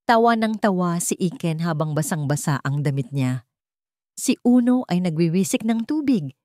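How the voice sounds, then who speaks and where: A Filipino woman reads scripted romantic fiction as a storyteller. Her voice sits fairly low, and the sound is dry, with almost no room around it. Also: lively intonation, normal speed, warm tone, dry recording.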